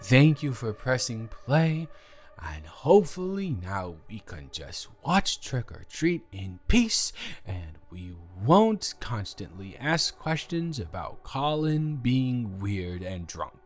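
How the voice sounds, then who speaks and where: A man's voice: medium pitch, very animated, slow pace. A man playing a drunk young roommate secretly in love, rambling and stammering defensively in an improvised roleplay audio drama. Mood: awkward, rambling.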